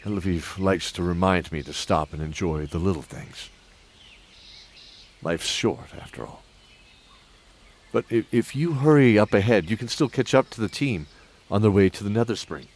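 A man's voice: low-pitched, moderate pace, darkish tone. A man playing a kindly, weary old knight who introduces an audio drama in a hesitant, musing way. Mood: weary, content.

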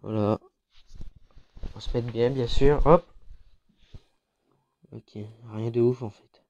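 A congested gamer with a French accent and a mellow, dark-toned voice talks his way through a mobile shooter match. His delivery is chatty and loose, with frequent fillers and restarts, the voice thick from a cold. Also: medium pitch, fairly even intonation, slow, dull audio. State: has a head cold, congested. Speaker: gaming YouTuber. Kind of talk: casual mobile game commentary.

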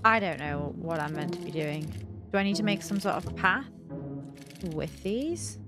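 A woman's voice: fairly low pitch, lively intonation, normal speed. Female, young adult, gaming host; casual, reactive think-aloud delivery, close mic, faint music behind. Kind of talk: casual playthrough commentary. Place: small room at home, indoors. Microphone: close desk microphone on an arm.

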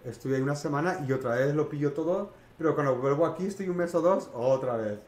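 A middle-aged man from Spain with a Peninsular Spanish accent, co-hosting a casual podcast from home in a brisk, colloquial, emphatic way. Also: fairly high pitch, darkish tone. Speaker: podcast co-host. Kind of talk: casual chat. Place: small home room, indoors. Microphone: headset. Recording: roomy echo.

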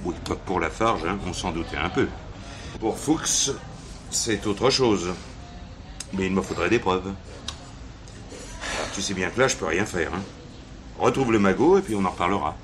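An actor playing a shrewd, dry police inspector who probes and bargains in a French radio drama. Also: male voice, low voice, lively intonation, normal speed, pauses often, noisy background. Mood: shrewd, sceptical. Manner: dry, probing. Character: shrewd, unhurried police inspector working an informant.

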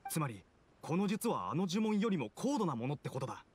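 A man's voice: fairly high pitch, sing-song, brisk, a little mellow, husky. A man playing a knowing young townsman in a video game, passing on rumours in a casual, confiding, explanatory way. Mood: knowing, conspiratorial. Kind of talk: scripted video game voice acting.

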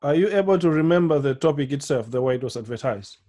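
A man's voice: on the high side, expressive, quick pace, dark tone. A middle-aged man interviewing a guest over a video call, asking short questions. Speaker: interviewer, journal editor. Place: indoor office. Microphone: headphones on a video call.

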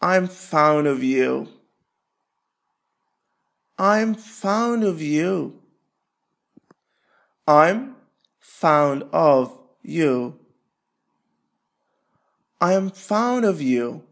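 A man teaching English, repeating short phrases slowly and switching to quick explanations. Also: fairly high pitch, animated, gravelly, fairly bright tone. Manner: repeats phrases slowly, stretching words apart; explains rapidly between drills. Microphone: voice-over.

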